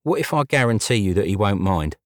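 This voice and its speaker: Jittery man trying to sound calm, narrator doing him, shaky and hesitant, lowish voice, dry. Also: brisk, dry recording. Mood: nervous. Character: nervous man hiding his accent, pleading.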